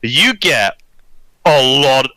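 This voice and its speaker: Bright, animated man joking on a podcast. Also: mid-range voice, extremely slow, no echo. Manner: sweary, mock-dramatic. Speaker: podcast co-host. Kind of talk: casual comedic banter.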